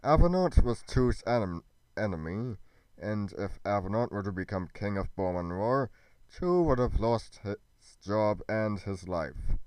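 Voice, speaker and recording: A man playing an earnest adventurer in a fantasy roleplay drama, speaking in deliberate, halting, storybook phrases. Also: on the low side, a little mellow, smooth, no echo, lightly acted. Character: earnest male adventurer musing over royal secrets. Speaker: voice actor in a fan-made Minecraft roleplay. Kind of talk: scripted dramatic roleplay dialogue.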